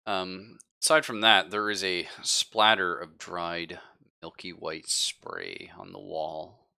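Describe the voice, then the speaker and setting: A man narrating a tabletop game casually as game master, often pausing to search for his words. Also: low-pitched, lively intonation, creaky, pushed and bright, clean tone.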